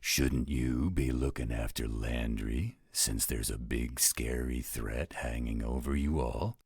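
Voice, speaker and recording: A man voicing a gruff, blunt, protective werewolf guardian with a husky voice in an audio roleplay drama. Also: steady tone, darkish tone, no room sound, clean studio sound, full character voice. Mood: defiant, blunt. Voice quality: very low, husky rasp. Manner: slow, blunt, coarse-spoken.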